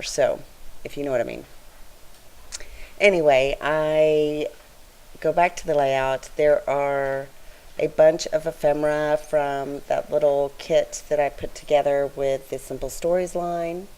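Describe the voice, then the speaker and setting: A woman with a weak, raspy, low voice, chatting casually in a voice-over as she narrates her scrapbooking work. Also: low-quality recording. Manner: soft-spoken, chatty, straining to be heard. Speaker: scrapbooking channel host.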